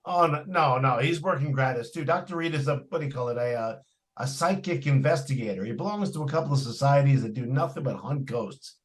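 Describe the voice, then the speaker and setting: A man's voice: medium pitch, fairly quick, close to an everyday voice. A man playing an eager, talkative property salesman in old-time radio suspense style, with a folksy, persuasive manner. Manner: folksy, persuasive, colloquial phrasing. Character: eager, talkative salesman trying to unload a haunted house.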